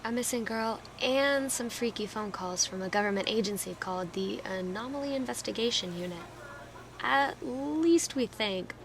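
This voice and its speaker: A woman's voice: on the high side, normal speed, crowd noise, close to an everyday voice. A woman playing a friendly, inquisitive amateur investigator in natural audio drama dialogue. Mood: curious, friendly. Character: Cam, friendly, inquisitive female fellow investigator.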